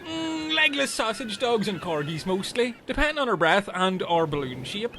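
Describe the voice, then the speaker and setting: A man's voice: sits high, big pitch swings, moderate pace, gravelly, sharp tone, slight background noise, full character voice. A man playing a dim, chatty, earnest Irish castaway in a comedy radio play, rambling and taking things literally. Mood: chatty, matter-of-fact.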